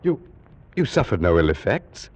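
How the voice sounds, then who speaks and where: An actor playing a worldly, eager ancient Egyptian priest in hearty, formal 1940s radio drama style. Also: male voice, low voice, sing-song, normal speed, breathy edge, full character voice. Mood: amazed, eager. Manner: hearty, theatrical exclamations and formal phrasing. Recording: muffled old-time radio recording.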